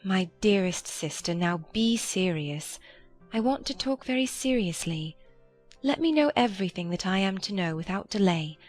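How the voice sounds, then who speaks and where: A woman playing a gentle, earnest, affectionate young gentlewoman in a dramatized period audiobook. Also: medium pitch, lively intonation, normal speed, faint music behind, natural voice. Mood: earnest concern. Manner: earnest, tender.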